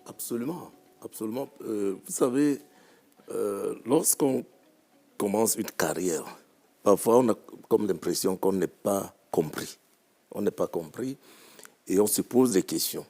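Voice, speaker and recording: Mellow-voiced man musing on music. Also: on the low side, expressive. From Cameroon. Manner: reflective, unhurried, repeats phrases. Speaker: musician guest. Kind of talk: panel talk show discussion.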